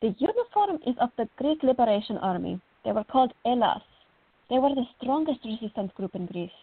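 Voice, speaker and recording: Woman acting fiery young artist, husky, thin sound. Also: a little high, very animated, brisk, no echo, exaggerated voice. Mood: solemn, proud. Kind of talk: radio play acting. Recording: thin, phone-like audio.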